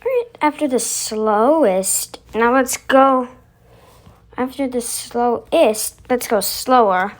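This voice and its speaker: Casual female channel host, higher register, unhurried delivery, introducing voice effects. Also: expressive. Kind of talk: casual demo of voice effects to camera.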